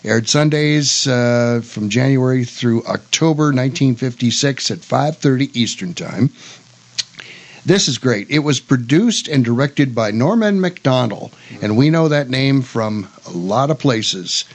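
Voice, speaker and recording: A man's voice: mid-range voice, animated, unhurried. An American man hosting a radio show, talking casually and making announcements.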